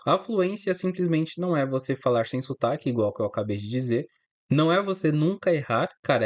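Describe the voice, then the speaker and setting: Brazilian guy teaching english, chatty and direct, slightly high voice, dead dry room. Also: no room sound. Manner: casual, talks straight to the viewer, says "cara" a lot. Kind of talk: direct-to-camera lesson.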